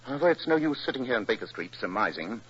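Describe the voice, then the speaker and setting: An actor playing a brisk, authoritative British gentleman detective with a cool, commanding manner in a 1940s radio drama. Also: mid-range voice, very animated, breathy edge. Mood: analytical, pensive. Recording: muffled, hissy old radio recording.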